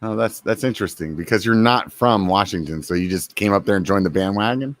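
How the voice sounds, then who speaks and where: A man in his forties chats on his podcast, joking as he acts out his snack cravings in quick quoted asides. His voice sits low and gravelly. The sound is dry and close, with no room to it. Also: no echo. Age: 40s. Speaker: podcast host. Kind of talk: casual chat.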